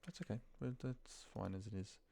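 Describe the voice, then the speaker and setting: A man thinking aloud in a muttered, pausing way as he talks through spreadsheet work on his computer. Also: lowish voice, creaky, dark tone. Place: at a computer desk, indoors.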